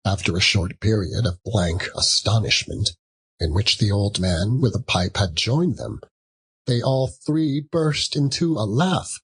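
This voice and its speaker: Husky, animated male storyteller. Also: lowish voice. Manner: measured, storytelling. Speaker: audiobook narrator. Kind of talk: dramatic scripted literary narration.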